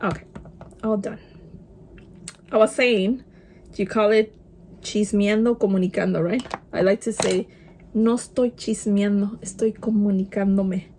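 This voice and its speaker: A woman's voice: mid-range voice, steady tone, measured pace, pauses often, warm tone, a little hiss or hum. A young woman with a Spanish accent, a native Spanish speaker, chatting casually while doing her makeup and dropping in Spanish words. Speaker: vlogger. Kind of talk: casual get-ready-with-me chat.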